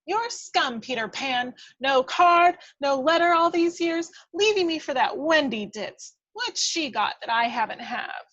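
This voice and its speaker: A woman's voice: high-pitched, expressive, unhurried, hardly any pauses, a bit bright, highs cut off, voice pushed up. A woman playing a tiny, feisty, bossy fairy with a scornful, theatrical delivery in a script read-through. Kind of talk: hobby table read acting.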